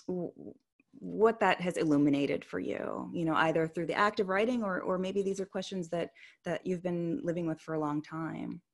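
Low-voiced woman moderating a panel. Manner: hesitant, many ums, thoughtful. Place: home study with bookshelves. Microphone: headset on video call.

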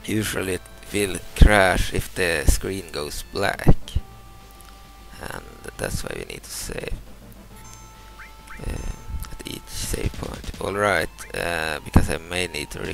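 A man from Sweden in his thirties, a native Swedish speaker, talks through a game in hesitant English, repeating words as he explains each move. His voice sits very low with a heavy gravelly rasp, and his pitch rises and falls a lot. Game music plays clearly behind him. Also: animated, low-quality recording. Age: 30s. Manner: halting, repeats words and restarts, explains moves step by step. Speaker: gameplay commentator. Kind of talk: casual let's-play commentary.

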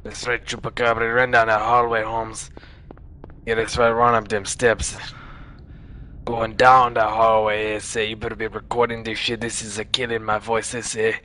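A man's voice: on the low side, completely flat delivery, moderate pace, pushed and bright. A young man gaming who puts on the strained voice of a swaggering, tough-talking street gangster, tagging his lines with slang. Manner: strained put-on voice, repeated street-slang tags. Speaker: gamer. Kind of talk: gameplay commentary in a put-on character voice.

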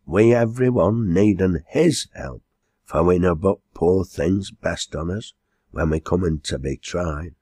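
A man's voice: low-pitched, unhurried, darkish tone, husky, dry recording, studio quality. A man voicing a kindly but bossy landlady in a storybook reading, with a Northern English accent and a homely, plain-spoken manner. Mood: earnest.

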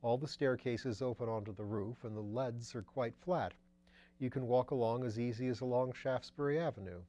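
A man voicing a practical police detective in a plain, matter-of-fact way, slightly lower than his own voice. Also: fairly quick, warm tone, lightly acted.

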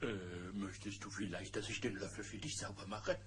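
A man playing a slow, good-natured, hungry gummi bear, unbothered and hesitant, in a German children's audio play. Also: on the low side, fairly even intonation, very noisy, natural voice. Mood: pleading.

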